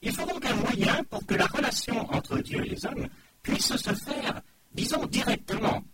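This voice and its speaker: A middle-aged man preaches a measured, explanatory sermon, and his voice sits very high with a lively rise and fall. A loud background noise runs under the whole recording.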